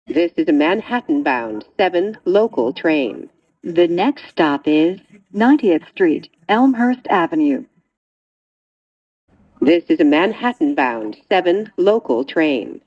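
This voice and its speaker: A woman reading scripted train announcements in a measured, segmented way. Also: on the low side, sing-song, slightly rough, highs cut off.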